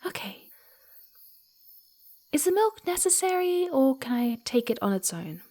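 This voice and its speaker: A woman voicing a playful, sweet, eager young female fox spirit in a soft ASMR roleplay, cooing and giggly, often slipping into breathy whispers. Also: sits high, very animated, measured pace. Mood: curious, gentle. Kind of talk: ASMR roleplay, speaking softly to the listener.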